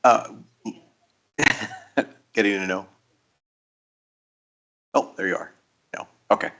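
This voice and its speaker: Guy running a council meeting, lowish, brisk procedural calls. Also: creaky, pushed and bright. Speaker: council chair presiding. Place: council chamber.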